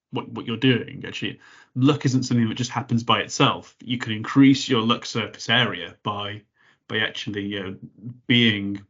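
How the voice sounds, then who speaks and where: A man with a fairly low voice hosts a podcast conversation, circling his points with repeated words and fillers. The sound is muffled and lo-fi. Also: fairly bright tone, husky. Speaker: podcast host.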